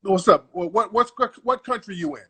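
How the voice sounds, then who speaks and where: A man's voice: sits high, lively intonation, normal speed, pushed and bright, husky. A man hosting a live call-in room, grilling callers in an aggressive, profane, repetitive way. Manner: aggressive, interrogating, profane, repeats himself. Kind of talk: confrontational live-stream comedy bit.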